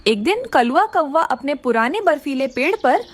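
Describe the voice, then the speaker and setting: A woman's voice: high-pitched, very animated, normal speed. A woman giving scripted narration for a children's animal story.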